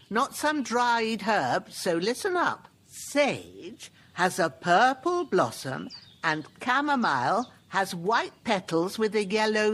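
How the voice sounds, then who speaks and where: An actress playing an older village healer, gruff and motherly, talking in folksy rustic speech with a lively, slow delivery. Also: medium pitch, heavily acted. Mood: scolding. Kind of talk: video game dialogue.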